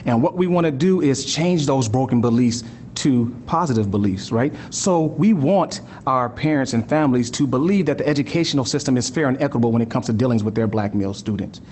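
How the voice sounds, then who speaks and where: An earnest man presents to a live audience at an ordinary, middle pitch. He leans on rhetorical questions and a habitual "right?", slowing down to stress key points. A faint low rumble sits under the recording. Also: lively intonation, darkish tone. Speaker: school district director giving a talk.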